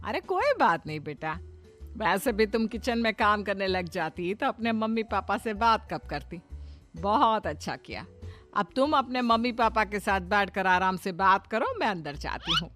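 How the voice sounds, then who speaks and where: A woman voicing a kindly but exasperated middle-aged mother-in-law in a cartoon story, expressive, chiding yet affectionate. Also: mid-range voice, normal speed, faint music behind, lightly acted. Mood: warm, welcoming. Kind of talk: Hindi moral cartoon story acting.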